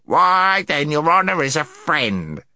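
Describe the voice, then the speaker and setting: A man's voice: fairly high pitch, sing-song, slow pace, pushed and bright, no room sound, clean studio sound, pitched above the performer's own voice, heavily acted. A man voicing a small, timid, servile gnome in a storybook, with a squealing, whimpering, chattering delivery. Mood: astonished, relieved. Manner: servile, rambling, wide-eyed.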